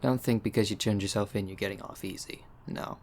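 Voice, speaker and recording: A man's voice: lowish voice, steady tone, fairly quick, darkish tone. A man playing a weary, irritable law officer in an audio roleplay, dry and exasperated. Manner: exasperated, dry, matter-of-fact.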